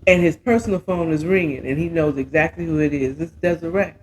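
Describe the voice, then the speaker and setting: An American man hosting a show, casually retelling a romance story with dramatic, sassy asides and drawn-out exclamations. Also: fairly high pitch, darkish tone, a little hiss or hum, poor audio. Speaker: host of a story recap show.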